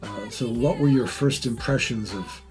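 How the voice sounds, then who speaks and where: A man's voice: mid-range voice, moderate pace, over music. A man conducting a casual interview in a wry, joking way.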